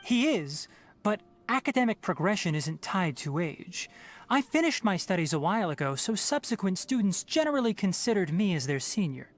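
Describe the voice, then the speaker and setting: An actor playing a calm, composed young male scholar who speaks in a measured, slightly dry way. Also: high-pitched, a little hiss or hum. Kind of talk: game voice acting.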